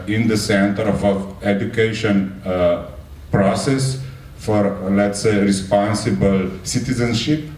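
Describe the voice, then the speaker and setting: A man speaking in an unscripted public address, halting and often hedging. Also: on the low side, a little mellow, distant mic. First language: not English. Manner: halting, hedging with frequent 'let's say'. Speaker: panel speaker. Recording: echoey room from afar, faint noise.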